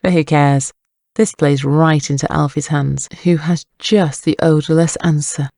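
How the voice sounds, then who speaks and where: A man's voice: a little high, fairly even intonation, a little slow, vocal fry, a little mellow, no echo, studio quality. A man reading scripted dramatic narration for an audiobook, with a wry, wordy storytelling manner.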